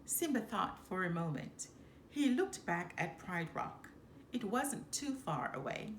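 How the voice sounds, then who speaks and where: A woman reads a children's story aloud at a slow pace with very lively intonation. Her voice is fairly high and sits in an echoey room with light noise. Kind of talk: expressive children's read-aloud. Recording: roomy echo, background noise.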